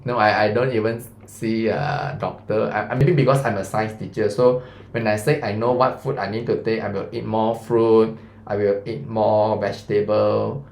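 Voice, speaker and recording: A man's voice: mid-range voice, creaky, reverberant. A man in his thirties with a Malaysian English accent, a science teacher chatting through a revision lesson and quizzing his students. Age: 30s. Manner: chatty, asks students questions, fillers like lah and mah.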